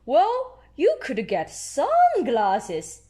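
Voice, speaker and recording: Woman voicing a high-pitched kindly pony doctor. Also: wildly swooping pitch, extremely slow, mellow, full character voice. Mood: helpful, cheerful.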